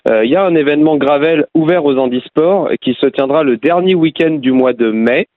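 A man's voice: fairly high pitch, fairly quick, no echo, narrow, tinny audio. A man co-hosting a casual interview, chatty and a little hesitant, with fillers. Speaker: co-host.

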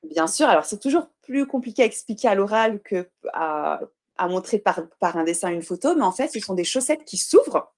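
A woman's voice: medium pitch, lively intonation. A woman of Portuguese heritage, a guest on a podcast, explaining her product step by step and correcting herself as she goes. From Portuguese family. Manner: earnest, explanatory, self-correcting with frequent pauses. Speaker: guest, baby clothing brand founder. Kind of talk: podcast interview.

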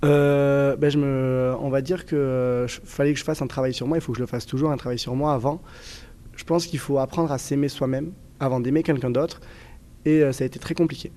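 A man in his twenties from southern France, speaking with a southern French accent as a guest in a casual interview, often pausing with fillers and restarting his sentences. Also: medium pitch, fairly even intonation, warm tone. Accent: southern French, Toulouse area. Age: 20s. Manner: hesitant, many fillers and restarts.

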